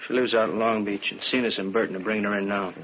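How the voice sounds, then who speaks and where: A man's voice: fairly low pitch, fairly even intonation, quick pace, a bit bright, natural voice. A male actor playing a matter-of-fact homicide captain, giving clipped, factual reports in a vintage radio drama. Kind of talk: 1950s radio police drama. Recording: muffled, faintly noisy old radio recording.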